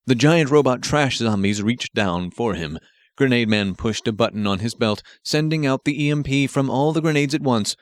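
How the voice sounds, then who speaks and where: Male narrator for action fiction audiobook: mid-pitched voice, scripted read, dry studio-like sound. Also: no room sound.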